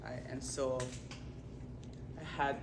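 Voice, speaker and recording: A speaker sharing a personal story at a community talk, earnest and halting, with fillers and restarts. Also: medium pitch, fairly even intonation. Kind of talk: personal testimony. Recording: roomy, distant, noisy room recording.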